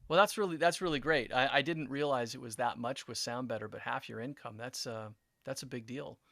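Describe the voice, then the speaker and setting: A man's voice: medium pitch, brisk, creaky, pushed and bright, no room sound. A middle-aged man hosting an interview, friendly and earnest, often repeating words as he restarts his sentences. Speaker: interview host and producer. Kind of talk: conversational interview.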